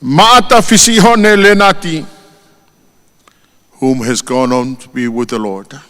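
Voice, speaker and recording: A man with a Tongan accent gives a funeral eulogy at a very unhurried pace. His delivery is solemn and measured, and he lifts his voice for each name. His words ring out with a long reverb, as in a large church. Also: medium pitch, steady tone, fairly bright tone.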